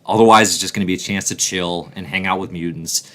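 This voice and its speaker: Enthusiastic low-voiced male show host. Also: fairly even intonation, fast, bright, forceful tone. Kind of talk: casual chat and commentary.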